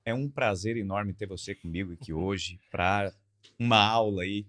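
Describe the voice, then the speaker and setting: A man hosting a podcast, opening like a showman and then settling into relaxed chat. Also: on the low side, normal speed. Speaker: podcast host.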